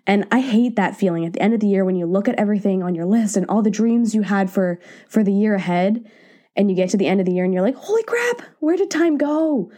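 Woman podcaster, a little nasal from a cold, mellow warm tone, chatty and encouraging. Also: mid-range voice, lively intonation, fast. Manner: chatty, encouraging, self-deprecating. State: stuffed up from a cold. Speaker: podcast host. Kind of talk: casual solo podcast talk. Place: home office, sitting on the floor.

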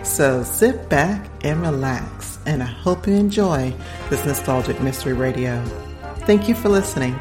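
A woman's voice: extremely low pitch, lively intonation, normal speed. A woman host reading a scripted introduction to a radio show. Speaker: podcast host. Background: prominent music.

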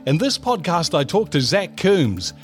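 A man's voice: on the high side, very animated, measured pace, faint music behind. A man hosting a podcast interview, asking questions in a friendly, conversational way. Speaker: podcast host. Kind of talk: casual interview.

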